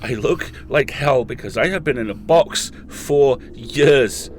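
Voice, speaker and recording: A man's voice: medium pitch, takes it slowly, slight background noise, close to an everyday voice. A man playing an imprisoned podcast host in an audio drama, intense and raging, pleading with his colleagues. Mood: bitter. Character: imprisoned male podcast host, furious and desperate.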